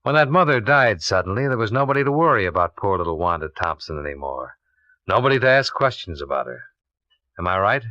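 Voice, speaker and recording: An actor playing a cool, relentless American insurance investigator, blunt and probing, in a 1950s radio drama. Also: male voice, low-pitched, big pitch swings, fairly quick, pushed and bright, no room sound, exaggerated voice. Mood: stern, accusing. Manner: clipped, probing, blunt interrogation. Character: hard-boiled, methodical male insurance investigator, relentless and cool. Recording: dull vintage radio recording.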